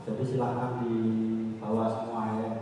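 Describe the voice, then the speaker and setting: A man presiding over a court hearing as judge, questioning witnesses and closing the session in a measured, formal way. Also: lowish voice, monotone, mellow. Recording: echoey courtroom audio from far off, noisy.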